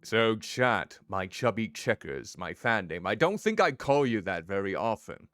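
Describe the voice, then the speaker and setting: Male streamer, deep voice, playful, teasing, chatty, lively inflection. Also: measured pace, no echo. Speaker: VTuber streamer playing a story game. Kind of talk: casual gameplay commentary.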